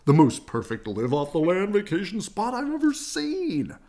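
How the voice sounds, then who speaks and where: A reader voicing an excitable, overconfident father bear from a children's storybook, with grand, enthusiastic delivery. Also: medium pitch, voice pushed up. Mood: thrilled. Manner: grand, enthusiastic, drawn-out dreamy descriptions.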